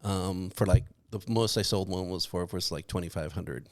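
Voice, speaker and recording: A man's voice: low voice, moderate pace, a little mellow, no echo, clean studio sound. A man chatting casually on a podcast in a rambling, self-deprecating way. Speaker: podcast cast member and guest painter.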